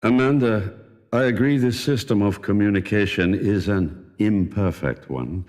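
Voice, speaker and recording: Deep, echoing male mind guide played by an actor. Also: darkish tone, natural voice. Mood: probing. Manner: measured, formal, probing. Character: mysterious male mind guide, calm and formal mentor. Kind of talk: audio drama acting. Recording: long cavernous reverb.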